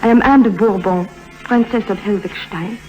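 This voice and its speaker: A woman's voice: medium pitch, moderate pace, a little mellow, natural voice. Refined young princess on dull vintage radio, woman acting. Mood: guarded, wistful. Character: young, refined, guarded European princess in exile. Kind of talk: 1950s radio romantic drama acting. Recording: dull vintage radio recording, music behind.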